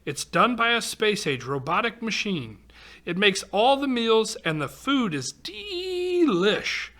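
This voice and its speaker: A man's voice: sits high, very animated, slow pace, pushed and bright. A man reading a rhyming picture book aloud to children, expressively, with exclamations and chatty asides. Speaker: volunteer storybook reader for children.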